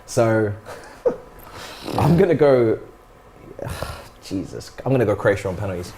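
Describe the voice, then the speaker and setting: A man in his twenties on a football panel, giving quick, opinionated takes in casual banter. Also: fairly low pitch, sing-song, dark tone, a little hiss or hum. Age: 20s.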